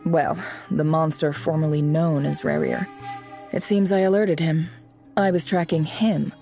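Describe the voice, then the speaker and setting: A woman voicing a composed, knowing scholar in a fantasy video game, speaking in a measured, formal storytelling way. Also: deep voice, fairly even intonation, vocal fry, dark tone, soft background music. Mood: measured, grave.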